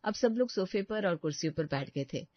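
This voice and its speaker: A woman's voice: fairly low pitch, animated, brisk, no echo, muffled recording. Woman narrator, dry storytelling voice.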